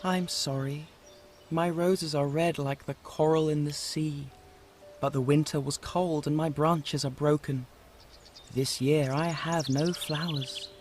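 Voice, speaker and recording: A man's voice: fairly high pitch, fairly even intonation, slow, slight background noise, pitched above the performer's own voice. A man voicing a grave, reluctant red rose tree in a storybook, solemn and sorrowful.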